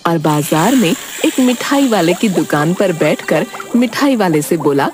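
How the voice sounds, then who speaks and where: Female storybook narrator, lively intonation, medium pitch, scripted cartoon tale over music. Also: a little slow.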